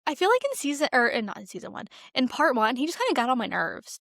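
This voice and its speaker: A woman's voice: high voice, wildly swooping pitch, quick pace, vocal fry, fairly bright tone, dry recording, studio quality. A woman co-hosting a podcast, chatting casually about a film in an informal, emphatic, slangy way. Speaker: podcast co-host.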